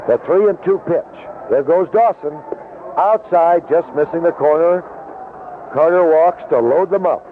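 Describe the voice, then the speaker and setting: Tinny noisy 1970s radio broadcast, male baseball announcer, somewhat high-pitched voice, clipped play-by-play phrasing. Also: unhurried. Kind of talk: live sports commentary. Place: outdoor ballpark broadcast booth.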